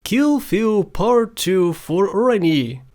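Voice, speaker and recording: A woman's voice: medium pitch, very animated, very slow, darkish tone. A woman delivering scripted narration as the host of a video countdown.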